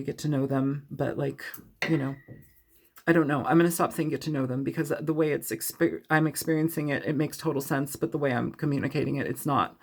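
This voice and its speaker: A woman with a low, creaky voice talks through a tarot spread in an easygoing, rambling way, with plenty of fillers. She sounds sniffly from a cold and laughs readily between thoughts. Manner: rambling, loose, filler-heavy. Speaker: tarot reader. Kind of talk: casual tarot reading to camera.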